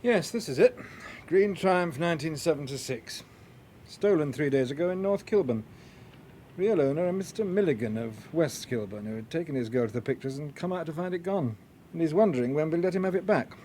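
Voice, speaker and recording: A man's voice: a little high, lively intonation, a little fast, dark tone, close to an everyday voice. Radio drama: informal British police examiner, played by a man, matter-of-fact and dryly amused, faint ambience behind. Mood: dry, factual. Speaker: police forensic officer. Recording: clear background ambient noise.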